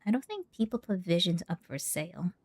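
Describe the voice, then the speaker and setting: An actress voicing a dry-witted young traveler with deadpan remarks. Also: female voice, fairly low pitch, fairly quick, background noise. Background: game music.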